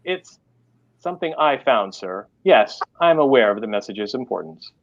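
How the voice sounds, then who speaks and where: A man's voice: mid-range voice, expressive, normal speed, close to an everyday voice. Audiobook AI butler, read by a man: male, refined American, prim, sardonic, slightly lo-fi sound. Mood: dry, insistent. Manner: formal, exacting, sardonic. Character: refined, dry, scolding AI butler, male, looks sixties.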